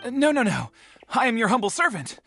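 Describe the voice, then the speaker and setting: A flustered, fawning servant. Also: male voice, very high-pitched, sing-song, brisk, vocal fry, exaggerated voice. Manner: flustered and stammering, overly deferential. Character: nervous, fawning male servant at an estate. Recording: game audio with soft music behind.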